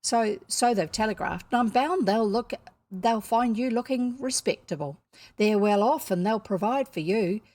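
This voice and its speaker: A woman's voice: fairly high pitch, normal speed, darkish tone, no room sound, natural voice. A woman reading a storybook as a blunt, self-righteous rural housekeeper, folksy and matter-of-fact. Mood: brisk, blunt.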